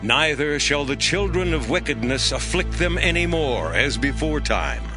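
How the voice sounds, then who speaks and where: Nathan the prophet speaks slowly and deliberately, his voice rising and falling with great expression, as a man acts him out. He sounds grave and steady in this audio drama. Clear music plays beneath his lines. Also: mid-range voice, close to an everyday voice. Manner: slow, deliberate prophetic delivery. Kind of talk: dramatized scripture reading.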